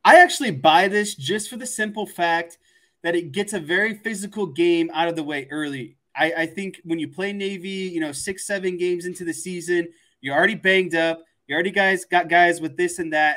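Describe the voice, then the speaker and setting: Male sports panelist, slightly high voice, conversational analysis with repeated words for emphasis. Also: sharp tone. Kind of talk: casual sports commentary.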